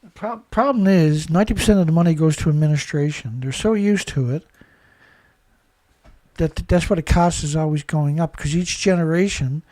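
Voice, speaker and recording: Guy with a stuffed-up nose ranting about the news, kinda high voice, dry close sound, lots of "right?" questions. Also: a little mellow, no echo. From Canada. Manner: rambling, indignant, rhetorical questions. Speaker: host. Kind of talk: solo commentary on news.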